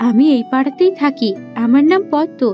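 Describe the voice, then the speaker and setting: A woman's voice: high-pitched, animated, brisk, dull audio, music in the background. A woman playing a meek, obedient young village girl in a cartoon fairy tale, polite and submissive. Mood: shy, polite.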